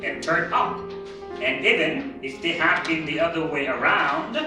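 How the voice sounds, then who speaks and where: A woman narrating a scripted readers theatre story in a measured, deliberate manner. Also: sits low. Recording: very echoey hall, distant mic, music behind.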